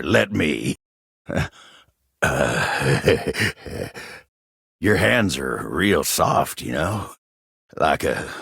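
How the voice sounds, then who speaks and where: An actor voicing a shy, earnest, lovestruck cowboy type in a video game, with a gravelly Southern American drawl and a tender, halting manner. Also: male voice, low voice, fairly bright tone, no room sound, clean studio sound, exaggerated voice. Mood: bashful. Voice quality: gravelly, husky. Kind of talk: video game character voice acting.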